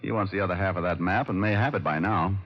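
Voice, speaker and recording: Hardboiled radio gumshoe, lowish voice, shrewd, wry, assured, on a dull vintage recording. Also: male voice, fast. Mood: brisk, shrewd. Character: shrewd, confident hardboiled male private detective. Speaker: private detective. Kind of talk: old-time radio drama acting and narration.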